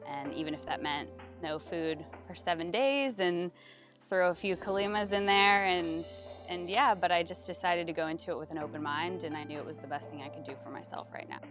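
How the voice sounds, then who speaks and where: A young woman in a casual testimonial interview, chatty, with fillers and soft laughs. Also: lowish voice, brisk, muffled recording. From United States. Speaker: retreat guest giving a testimonial. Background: background music.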